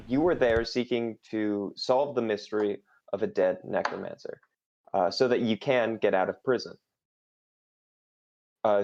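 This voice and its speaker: A young man narrating as game master in a casual voice chat, relaxed and hesitant, with many fillers. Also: fairly low pitch, gravelly, dull audio.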